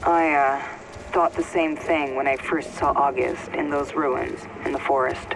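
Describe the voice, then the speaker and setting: An actor playing a troubled expedition companion in a video game cutscene. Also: male voice, medium pitch, fairly even intonation, unhurried, vocal fry, background noise. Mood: hesitant.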